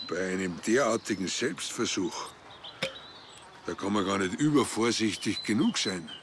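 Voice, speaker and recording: A man's voice: lowish voice, expressive, normal speed, distant mic, noisy background, natural voice. An actor playing a naive, opinionated village policeman in a TV crime comedy, rambling conversationally with a Bavarian accent. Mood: self-important. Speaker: police officer.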